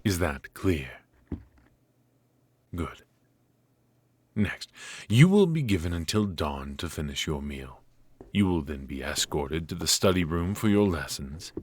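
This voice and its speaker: A man playing a stern, aloof royal mage and mentor, speaking formally and imperiously with a husky voice in a scripted ASMR fantasy roleplay. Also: low voice, a little hiss or hum.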